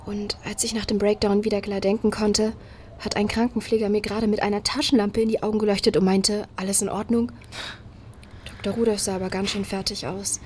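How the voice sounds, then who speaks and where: An actress playing a wary young woman in hiding, confiding in a friend in hushed, often half-whispered audio drama dialogue. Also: mid-range voice, level tone, brisk, a little hiss or hum, close to an everyday voice. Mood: confiding, shaken.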